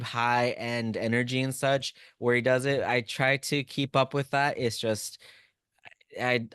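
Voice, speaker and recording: Encouraging male instructor, smooth dry voice. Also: medium pitch, dry recording. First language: Spanish. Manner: relaxed, encouraging, talks to chat by name. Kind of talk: live online class wrap-up.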